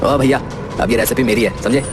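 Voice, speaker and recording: A man voicing a hot-tempered, rude young man in a Hindi cartoon, talking in a brash, shouting way. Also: mid-range voice, fairly even intonation, a little fast, natural voice. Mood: arrogant. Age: young adult. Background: background music.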